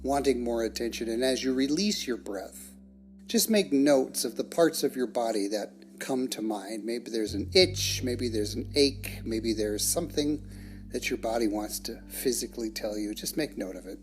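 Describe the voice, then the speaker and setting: A person leading a guided meditation in a measured, soothing manner, with long pauses between phrases. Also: mid-range voice. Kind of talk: guided meditation, scripted narration. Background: soft plucked guitar music.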